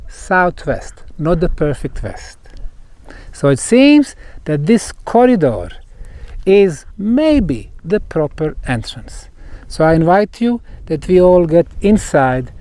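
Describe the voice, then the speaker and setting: Slow-paced man guiding a group. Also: high-pitched, big pitch swings, mellow, no room sound. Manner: deliberate, emphatic phrasing with pauses. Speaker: researcher and tour guide. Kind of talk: guided site talk to a group. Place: outdoors at a megalithic stone site.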